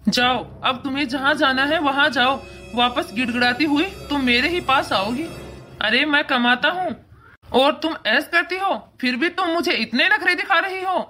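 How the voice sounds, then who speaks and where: A woman's voice: sits high, moderate pace, bright, forceful tone, faint music behind. A woman voicing a domineering, scornful young husband, bossy and condescending, in cartoon moral-story acting.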